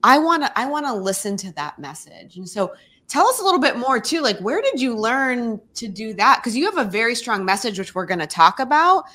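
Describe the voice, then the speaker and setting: A woman's voice: on the high side, sing-song, a little fast, fairly bright tone. A woman co-hosting a podcast, chatting enthusiastically with her guest. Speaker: podcast co-host. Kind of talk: casual interview chat.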